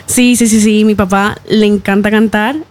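A woman's voice: medium pitch, steady tone, moderate pace, darkish tone. A young woman singer from Ecuador, answering a radio interview in a cheerful way, laughing often and using many fillers. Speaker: singer, guest. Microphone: studio microphone.

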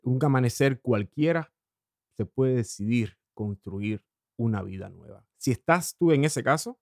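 Man hosting a slow morning radio show. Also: mid-range voice, animated, dry recording, studio quality. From Cuba. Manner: slow, drawn-out phrasing with hesitant fillers. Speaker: radio host. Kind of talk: warm morning radio monologue.

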